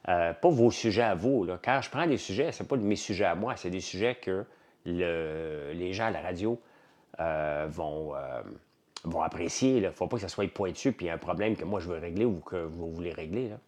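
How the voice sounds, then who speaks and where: Low-voiced Quebecois man chatting casually. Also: moderate pace, darkish tone. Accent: Quebec French. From Quebec, Canada. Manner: rambling, blunt, colloquial, repeats himself. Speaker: livestream host. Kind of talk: casual livestream chat with viewers.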